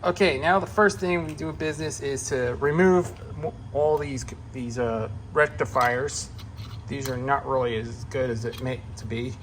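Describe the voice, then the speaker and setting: A man with a mid-range voice narrates his own hobby repair as he goes. His delivery is casual and halting, often pausing to work things out aloud. It is a homemade recording with clear noise behind the voice. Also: mid-range voice, fairly even intonation, fairly bright tone. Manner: halting, hesitant, thinking aloud. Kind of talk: casual unscripted how-to narration while working.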